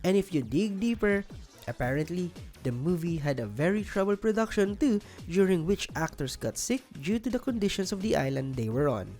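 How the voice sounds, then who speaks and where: Low-pitched, lively woman narrating over music. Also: fairly quick. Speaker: video narrator. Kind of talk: scripted countdown commentary. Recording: music bed under voice-over. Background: background music.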